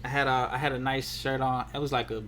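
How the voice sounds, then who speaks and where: A man's voice: mid-range voice, little pitch movement, quick pace, fairly bright tone, a little hiss or hum. A man talking casually and colloquially, often checking that the listener follows him. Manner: colloquial, peppered with "you know what I'm saying". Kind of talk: casual relationship chat.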